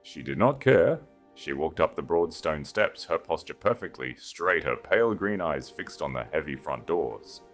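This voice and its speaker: A man narrating a scripted audiobook story with measured, solemn, literary phrasing and dramatic delivery. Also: extremely low pitch, animated, soft background music.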